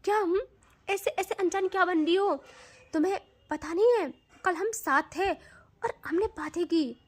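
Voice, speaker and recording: A woman's voice: extremely high pitch, lively intonation, moderate pace. A woman voicing a hot-tempered, possessive young woman who talks intensely and stammers when she pleads. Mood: indignant.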